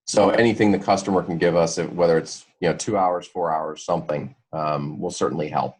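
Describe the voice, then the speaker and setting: A man giving an informal product presentation on a conference call in a halting, hedging way. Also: low-pitched, fairly even intonation, a little mellow, breathy edge.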